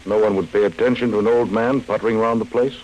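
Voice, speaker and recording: A man's voice: lowish voice, fairly even intonation, quick pace, highs cut off, slight background noise, natural voice. A man playing a matter-of-fact, unsentimental city lawman in a 1940s radio drama. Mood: brisk, unsentimental. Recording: vintage radio recording, loud music.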